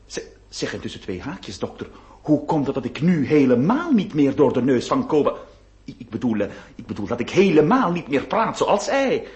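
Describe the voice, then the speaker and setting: A man acting in a radio play as an excitable, distraught nineteenth-century gentleman, stammering and melodramatic. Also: mid-range voice, moderate pace, dull audio, close to an everyday voice. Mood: anxious. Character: distraught, excitable 19th-century gentleman who lost his nose. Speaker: radio drama actor. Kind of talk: theatrical Dutch-language radio play acting.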